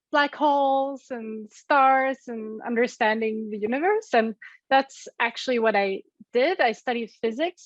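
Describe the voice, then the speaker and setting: A woman's voice: fairly high pitch, dry recording, highs cut off. A woman with a Swedish accent, a guest on an interview over a call, speaking thoughtfully with many ums and likes. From Sweden. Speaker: guest, app co-founder.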